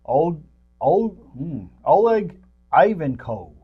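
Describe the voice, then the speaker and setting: A man's voice: a little high, very animated, slow, husky, lo-fi sound. An older man co-hosting a casual movie-review chat in a rambling way. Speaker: co-host.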